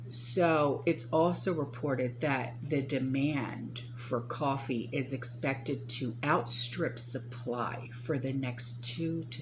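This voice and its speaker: Female vlog host, very deep and slow, chatty with tag questions; humming background. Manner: chatty, keeps checking in with "okay?" and "right?". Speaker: prepping channel host. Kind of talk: casual talk to viewers. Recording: steady hum behind the voice.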